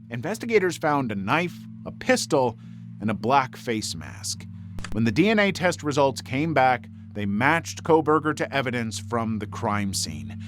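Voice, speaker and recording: A man reading scripted true-crime documentary narration in a measured, serious manner. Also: fairly high pitch, a little hiss or hum.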